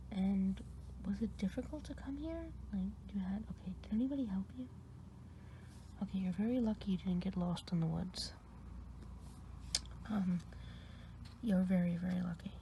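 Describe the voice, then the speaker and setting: A woman playing a kindly witch who tests a patient's eyes, whispering softly and asking patient questions in an ASMR roleplay. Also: medium pitch, dull audio, slight background noise, lightly acted. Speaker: ASMR performer.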